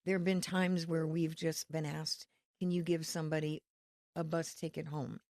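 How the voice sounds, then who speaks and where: A woman from Connecticut, a ministry leader, explaining her work in an earnest, faith-filled, measured way as a podcast guest. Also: low voice, flat delivery, a little mellow, no echo, studio quality. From Connecticut, USA. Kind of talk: podcast interview.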